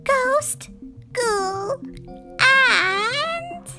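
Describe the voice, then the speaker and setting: A woman puts on a little witch girl for a storybook reading, eager to throw a party. The voice is squeaky and chirpy, set very high, and it rises and falls a lot. It moves slowly. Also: expressive, loud music behind the voice, full character voice.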